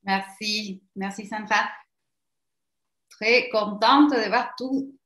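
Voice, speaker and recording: A woman with an Argentine accent presenting a webinar training as a psychologist, halting and searching for words. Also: medium pitch, fairly bright tone. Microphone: video call. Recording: roomy echo.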